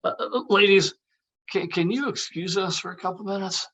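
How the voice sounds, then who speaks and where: Man playing higher-voiced brash buddy. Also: normal speed, fairly bright tone. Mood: covering awkwardly. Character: brash, teasing male buddy, inappropriate but quick to cover. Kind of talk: comedy script table read.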